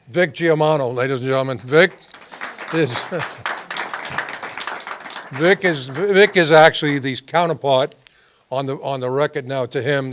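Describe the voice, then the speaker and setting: A man's voice: mid-range voice, fairly bright tone, a little hiss or hum. A man hosting a casual interview, repeating himself and laughing often. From New York. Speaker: host.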